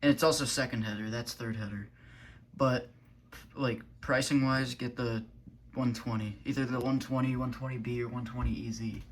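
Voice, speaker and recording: A man's voice: medium pitch, steady tone, moderate pace, a little hiss or hum. A man giving a casual, unscripted product review as a hobbyist, thinking aloud in a loose, hesitant way. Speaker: weather radio hobbyist reviewing gear.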